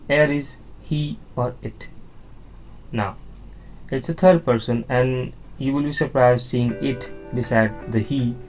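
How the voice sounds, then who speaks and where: A man at a mid-range pitch teaches beginners at a very slow, deliberate pace. He repeats key words, checks in with "okay?", and walks through each slide patiently. Faint music plays underneath. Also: darkish tone, low-quality recording. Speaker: language teacher. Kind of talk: slow beginner lesson explaining on-screen slides.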